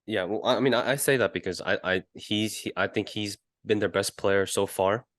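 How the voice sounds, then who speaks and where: A man co-hosting a casual football podcast, reasoning in a measured, halting way. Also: lowish voice, fairly bright tone, no echo, studio quality. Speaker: podcast co-host.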